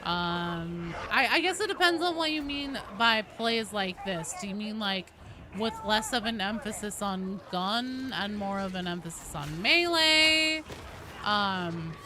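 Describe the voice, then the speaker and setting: A woman hosting a game livestream, chatting casually and answering viewers in an animated, playful, conversational way. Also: mid-range voice, measured pace, noisy background.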